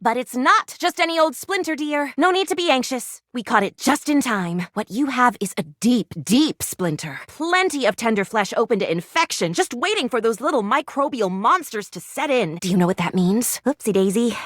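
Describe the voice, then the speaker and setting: A woman's voice: a little high, expressive, moderate pace, hardly any pauses, a bit bright, no room sound, studio quality. A woman playing a perky, eccentric, gleefully morbid lady doctor in a video game, with gushing, old-fashioned, melodramatic phrasing. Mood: dramatic, gleeful. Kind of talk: animated video game character acting.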